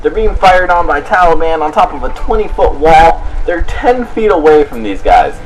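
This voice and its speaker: A man telling a story casually to viewers in an excitable, emphatic, slangy way, rambling and often repeating his phrases. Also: on the high side, moderate pace, a little hiss or hum, poor audio. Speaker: host of a storytelling video.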